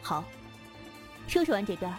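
A woman's voice: medium pitch, big pitch swings, normal speed, music in the background, lightly acted. A woman playing a proud, capricious female martial sect leader in a Chinese wuxia radio drama, haughty and teasing. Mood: teasing, softened.

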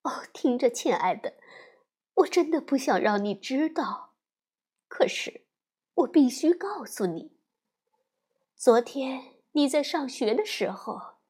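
Calm storybook mother bear, woman reading, slow and soothing, breathy. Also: high-pitched, exaggerated voice. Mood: gentle, soothing. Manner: soft, soothing. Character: gentle comforting mother bear.

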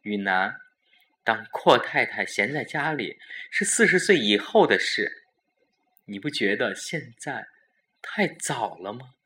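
A man's voice: mid-range voice, lively intonation, slow pace, bright, forceful tone. A man voicing an indignant, tearful young wife in her twenties, pleading and accusing, in a Chinese audio drama.

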